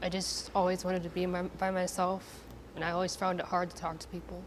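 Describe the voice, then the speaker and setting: A woman in her twenties answering interview questions in a soft, hesitant way. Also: lowish voice, flat delivery, a little fast, slight background noise. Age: 20s. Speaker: interview participant. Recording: clip with music bed.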